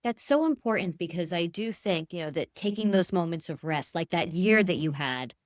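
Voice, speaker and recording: A woman's voice: lowish voice, lively intonation, moderate pace, no room sound, clean studio sound. A woman hosting a podcast, talking in a casual, encouraging and reflective way. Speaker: podcast host. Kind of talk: casual interview chat.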